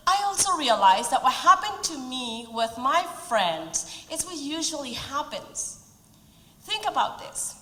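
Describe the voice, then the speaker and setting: A woman's voice: high voice, a bit bright. Peruvian woman speaking in echoing hall. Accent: Peruvian Spanish. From Peru. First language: Spanish. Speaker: speaker at a community event. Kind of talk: prepared speech. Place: event hall. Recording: roomy hall reverb.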